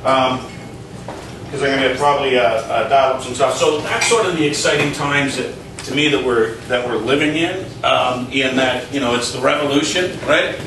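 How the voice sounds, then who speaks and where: A Canadian man talks casually to a live audience, loud and full of "right?" and "uh". He speaks without a microphone, so his voice comes from a distance in an echoey meeting room. Steady noise sits under the whole recording. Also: medium pitch, moderate pace. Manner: loud, chatty, full of fillers and tag questions. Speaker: internet marketing consultant giving a talk. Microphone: no microphone, picked up from a distance.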